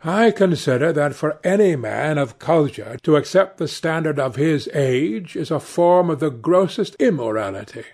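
A man's voice: a little high, lively intonation, moderate pace, few pauses, a little mellow, pitched above the performer's own voice. A man voicing a witty, cynical aristocrat in an audiobook, with a nonchalant, pompous, epigrammatic manner. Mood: smug, amused. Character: languid witty cynical male aristocrat, urbane and teasing. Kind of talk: audiobook character dialogue.

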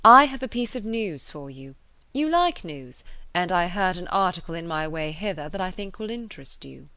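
A woman voicing a composed, wry, sensible gentleman in an audiobook, measured and matter-of-fact. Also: fairly low pitch, big pitch swings, no echo, exaggerated voice. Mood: teasing. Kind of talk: audiobook character voice.

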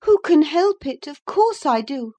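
A woman's voice: high-pitched, sing-song, unhurried, warm tone, pitched above the performer's own voice. A woman voicing a headstrong, anxious young upper-class woman in a dramatised reading of a classic novel, impulsive and insistent. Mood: petulant, defiant.

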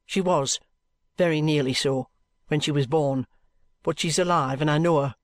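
A man's voice: a little high, steady tone, normal speed, no room sound, close to an everyday voice. Bitter, hard-edged servant woman, blunt and scornful, a man voicing her.

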